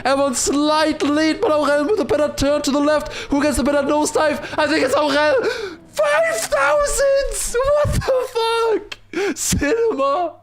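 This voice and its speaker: A man livestreaming excited esports commentary mixed with casual chat, raising his voice to a shout at big moments. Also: very high voice, unhurried. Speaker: esports watchparty streamer and commentator.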